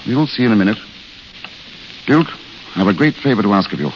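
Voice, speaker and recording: A man's voice: on the low side, big pitch swings, brisk. A man acting a fatalistic romantic idealist in an old radio drama, courteous and literary in his speech. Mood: wry, polite. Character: world-weary romantic idealist, eloquent and fatalistic. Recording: hissy, dull old radio recording.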